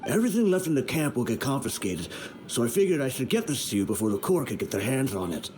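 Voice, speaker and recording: A man's voice: mid-range voice, very animated, fast talker, darkish tone, slight background noise, lightly acted. A man playing a weary, honourable mercenary underling in a video game, matter-of-fact in manner. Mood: resigned, frank. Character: plain-spoken, weary but honourable male mercenary underling.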